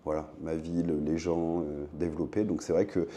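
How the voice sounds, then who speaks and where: A man with a deep, extremely dark and relaxed voice. He is an interview guest from France, explaining his work as a shop owner in a casual, conversational way. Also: normal speed. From Le Mans, France.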